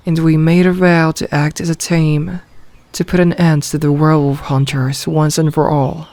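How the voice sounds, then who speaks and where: Woman voicing a female werewolf pack leader, possessive, commanding, slow measured delivery, dry close ASMR roleplay sound. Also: sits low, darkish tone, dry recording.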